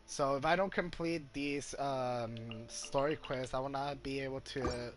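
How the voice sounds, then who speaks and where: A man doing casual commentary on a video game playthrough, halting and thinking aloud as he goes. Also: mid-range voice, steady tone, a little hiss or hum. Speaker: gamer streaming playthrough. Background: game music.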